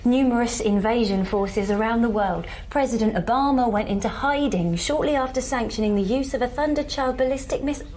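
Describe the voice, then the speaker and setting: An actress with a British accent playing an anxious, resourceful woman surviving an alien invasion, speaking in an urgent, halting, pragmatic way in an audio drama. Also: a little high, a little mellow, a little hiss or hum, natural voice. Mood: tense, urgent. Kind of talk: naturalistic audio drama acting.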